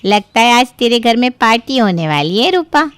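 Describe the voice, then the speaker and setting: A woman playing an inquisitive, familiar middle-aged neighbour and friend in an animated story. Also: fairly high pitch, moderate pace, clean tone, dry recording. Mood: curious, teasing. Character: curious, sympathetic gossipy neighbour woman friend. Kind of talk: animated story character dialogue.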